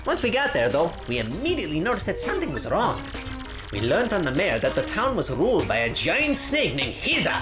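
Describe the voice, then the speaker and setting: A podcaster voicing a boastful, excitable video game hero who recounts tall adventure tales in slangy, dramatic storytelling, in a roomy space. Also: mid-range voice, a little fast. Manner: bragging, slangy storytelling, often addressing listener as bro. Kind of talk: dramatic read-aloud of video game dialogue. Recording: roomy recording with loud music.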